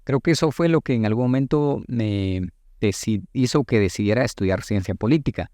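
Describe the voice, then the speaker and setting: A Guatemalan man in his late thirties giving thoughtful, halting answers as a politician being interviewed, with formal wording. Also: fairly low pitch, warm tone, no room sound, clean studio sound. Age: late 30s. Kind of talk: reflective interview talk.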